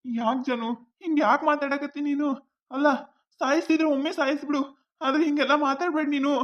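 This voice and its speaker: A woman's voice: high voice, steady tone, fairly quick, dull audio, natural voice. A woman with a North Karnataka Kannada accent acting as a young man reassuring his girlfriend. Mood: insistent. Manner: coaxing, reassuring. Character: young man boyfriend, devoted and insistent.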